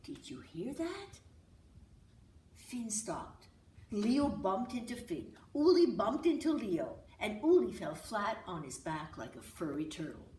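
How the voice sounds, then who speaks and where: A woman reads a children's story aloud in an unhurried, dramatic way with very animated pitch. She sounds a little away from the mic in a roomy space. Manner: expressive, dramatic. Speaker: author reading her picture book. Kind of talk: children's story reading with asides. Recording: roomy, distant mic with hiss.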